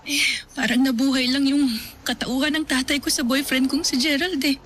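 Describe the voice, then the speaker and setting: Actress as a young woman, abuse survivor, wry and resigned, slightly high voice, faint background hiss. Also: fairly even intonation, moderate pace, a bit bright. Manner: wry, resigned, laughs off pain. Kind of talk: Filipino radio-style drama acting.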